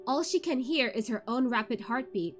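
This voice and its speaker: A woman hosting a read-along livestream in an informal, expressive way, with music in the background. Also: on the high side, moderate pace. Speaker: VTuber host of a book read-along. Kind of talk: casual chatty livestream; expressive audiobook-style narration of a novel.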